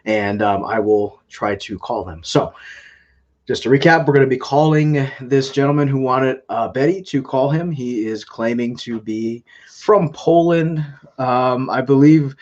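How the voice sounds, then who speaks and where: A man hosting a casual live stream, talking in a halting way with frequent filler sounds. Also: medium pitch, a little mellow, dull audio. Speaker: scam-baiting live streamer.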